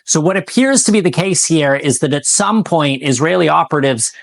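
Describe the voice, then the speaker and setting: A man's voice: on the high side, animated, brisk, creaky, dry recording. A man working as a TV news reporter, delivering a broadcast news report.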